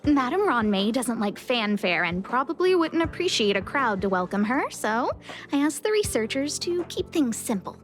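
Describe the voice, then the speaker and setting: A woman's voice: a little high, very animated, moderate pace. A woman voicing a polite, cheerful and helpful young space station staffer in a video game. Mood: cheerful, welcoming. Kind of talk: scripted video game voice acting. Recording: game dialogue with music behind.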